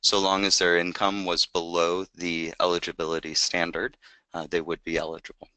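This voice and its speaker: Bright-voiced man hosting a webinar. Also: sits low, monotone, normal speed, no room sound. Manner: plain, reads a guest introduction. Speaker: webinar host.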